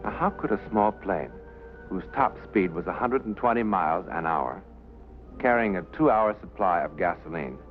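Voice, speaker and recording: An actor playing a grave narrator, speaking in deliberate, questioning phrases in a 1950s mystery style. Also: male voice, lowish voice, narrow, tinny audio. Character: grave male narrator puzzling over an unsolved mystery. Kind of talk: dramatic 1950s mystery monologue. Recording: thin old film soundtrack.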